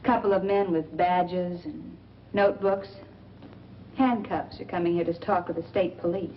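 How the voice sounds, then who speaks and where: A woman's voice: lowish voice, steady tone, moderate pace, close to an everyday voice. An actress playing a shrewd, world-weary woman drawn to bad men, knowing and sardonic in a 1940s noir style. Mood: cool, knowing. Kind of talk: 1940s film noir acting. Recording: dull, noisy old film soundtrack.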